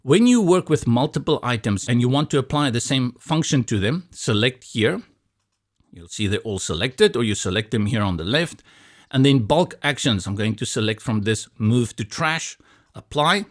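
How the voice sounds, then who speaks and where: A man from South Africa, Afrikaans his first language, with a South African accent, calmly talking through a software tutorial step by step with a bit of light humor. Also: medium pitch, normal speed. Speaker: tutorial instructor. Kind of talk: screen-recorded software tutorial.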